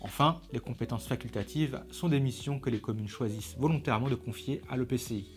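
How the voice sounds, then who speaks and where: A man's voice: mid-range voice, quick pace. A man reads scripted educational narration in a didactic, structured way of explaining. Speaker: presenter of an explainer video. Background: background music.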